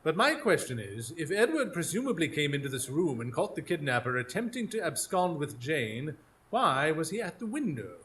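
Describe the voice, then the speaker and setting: An actor playing a keen, self-assured Victorian detective in a live radio drama, speaking formally and precisely. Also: male voice, fairly high pitch, very animated, normal speed, fairly bright tone. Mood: analytical. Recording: live stage recording, faint ambient noise.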